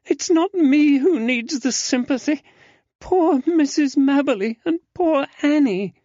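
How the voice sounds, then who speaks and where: A man voicing a distraught young gentlewoman with a high, wailing voice, in a dull, dry audiobook recording. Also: animated, unhurried, dry recording. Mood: distressed.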